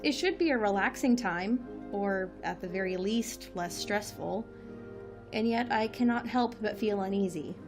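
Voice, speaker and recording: A woman's voice: mid-range voice, measured pace, music in the background, close to an everyday voice. An actress playing a dry, exasperated woman director in a comic audio drama, with a wry, officious manner. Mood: uneasy, reflective.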